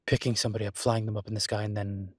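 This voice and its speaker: A man playing a suave, proud incubus demon in a roleplay audio drama, speaking in a husky voice with wry, articulate, emphatic phrasing. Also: fairly low pitch, level tone, very fast, no echo, clean studio sound. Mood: indignant, protective. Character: suave adult male incubus demon, proud, wry, protective of his kind. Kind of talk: roleplay audio drama, intimate conversational monologue.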